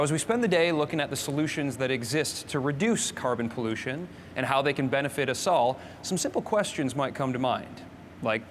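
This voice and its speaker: A man's voice: fairly high pitch, slight background noise. A man presenting a live show, reading scripted links in a polished, upbeat way. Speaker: host.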